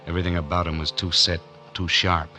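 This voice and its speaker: A man's voice: low-pitched, level tone, fast talker, pushed and bright. An actor playing a broke, wry drifter who tells his story in laconic hard-boiled 1940s radio noir style. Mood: brooding narration. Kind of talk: 1940s radio noir acting and first-person narration. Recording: vintage 1940s radio recording with music behind.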